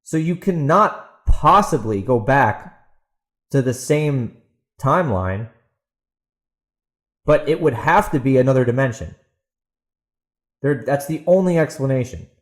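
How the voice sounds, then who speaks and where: A man's voice: mid-range voice, lively intonation, measured pace, pauses often. A man hosting a solo podcast, talking casually and emphatically with opinionated asides. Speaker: podcast host and author.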